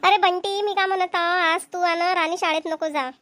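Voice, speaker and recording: A woman's voice: very high-pitched, fairly even intonation, normal speed, fairly bright tone, no room sound. A woman voicing a fretful homemaker mother in a Marathi cartoon comedy, with a rural Vidarbha accent, fussing and thinking aloud. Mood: matter-of-fact. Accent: Maharashtrian, rural Vidarbha dialect. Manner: fussing, talks problems through aloud. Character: fretful homemaker mother of two young children.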